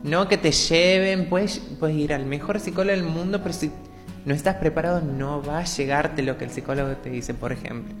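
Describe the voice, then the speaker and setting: A woman's voice: low-pitched, brisk, in a large hall, music in the background. A woman in her twenties, a radio interview guest, talking openly and reflectively about her personal story. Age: 20s.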